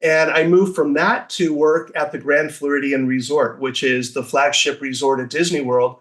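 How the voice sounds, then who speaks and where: A man's voice: a little high, fairly even intonation, moderate pace, a bit bright. A man speaking as an interview guest in an enthusiastic, conversational storytelling way, now and then acting out short quotes.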